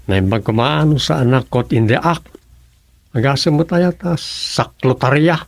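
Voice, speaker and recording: An actor playing an exasperated adult son in an Ilocano family radio drama, grumbling earnestly with an Ilocano accent. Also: male voice, mid-range voice, measured pace, vocal fry, no echo. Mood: disapproving.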